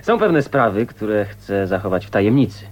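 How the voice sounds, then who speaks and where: An actor in a radio play voicing a courteous, wry and secretive man who speaks in a polite, measured way. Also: lowish voice, big pitch swings. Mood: serious bargaining. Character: courteous, wry, secretive male loner and suitor.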